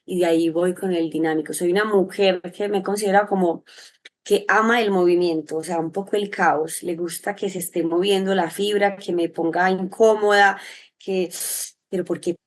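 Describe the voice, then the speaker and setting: A woman with a Medellín Colombian accent, answering in an interview in an expressive, reflective, rambling way. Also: fairly low pitch, moderate pace. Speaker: interview guest, sexologist and psychologist.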